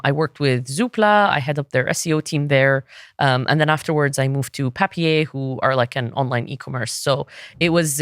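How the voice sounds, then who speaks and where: A woman in her thirties from Egypt whose first language is Arabic speaks as a guest in a relaxed interview. Her voice is smooth and clear, and she often restarts her sentences. The sound is dry and close, with no room echo. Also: deep voice. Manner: thoughtful, frequent restarts and fillers. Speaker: podcast guest, SEO consultant. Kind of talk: casual interview talk.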